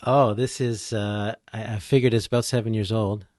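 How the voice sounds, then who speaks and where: Male expert guest, lowish voice, dry recording, practical conversational explainer. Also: steady tone, normal speed, a little mellow, no room sound. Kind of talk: casual explanatory talk.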